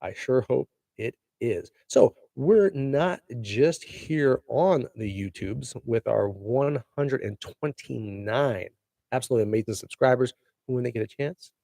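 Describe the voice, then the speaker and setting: A man's voice: medium pitch, unhurried, vocal fry, mellow, dry recording. A man who is an actor hosting his own show, chatting casually to viewers in a laid-back, slangy way that hypes up his news, speaking into a handheld mic held close to his face.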